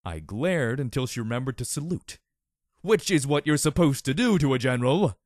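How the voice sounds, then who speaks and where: A man reads a story with theatrical, mock-serious drama. His mid-pitched voice swings widely for effect, and the recording is dry with no room sound. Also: expressive, moderate pace, no echo. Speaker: story narrator. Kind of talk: overly dramatic scripted narration.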